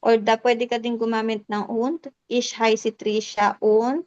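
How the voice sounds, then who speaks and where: A woman from the Philippines teaching a German class online, patient and polite, switching between Tagalog and German and saying the German words slowly. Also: on the high side, level tone, a little mellow, dry recording, lo-fi sound.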